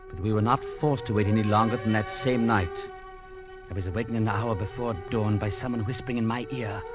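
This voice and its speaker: A man's voice: on the low side, steady tone, brisk, natural voice. An actor playing an earnest young legionnaire who tells his story as a grave, dramatic narrator in a 1940s radio drama. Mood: grave, tense. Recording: dull 1940s radio recording with music.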